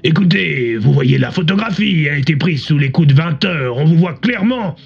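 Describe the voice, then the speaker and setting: A man playing a smug, theatrical male radio debate host in an audio drama, pompous and emphatic, with a husky, gravelly voice through a radio filter. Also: a little high, animated, a little fast, full character voice. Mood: accusing. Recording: muffled radio broadcast sound, music behind.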